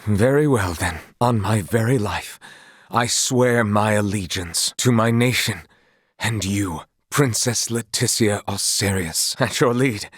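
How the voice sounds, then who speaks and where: An earnest young knight for a video game, loyal and honorable. His voice sits on the low side with a husky grain. He speaks in courtly, old-fashioned phrases, recorded very dry. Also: takes it slowly, no echo. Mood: solemn, resolute.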